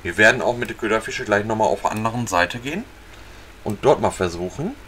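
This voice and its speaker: A man casually talking viewers through a fishing video game, relaxed and chatty. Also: fairly low pitch, a little fast, a little hiss or hum. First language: German. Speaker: gaming channel host. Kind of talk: casual let's-play commentary.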